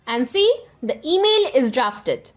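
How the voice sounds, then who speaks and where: High-pitched, lively woman tutorial presenter. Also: slow pace. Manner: instructional, step-by-step, addresses viewer directly. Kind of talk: scripted software tutorial narration.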